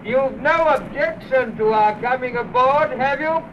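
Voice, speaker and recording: Through dull old radio sound with clear boat noise, an official hailing a vessel. His voice is pushed very high, each phrase called out slowly and firmly. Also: recorded from a distance. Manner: shouted hailing, deliberate, firm. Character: official boarding party man, firmly hailing a ship. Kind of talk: vintage radio thriller acting.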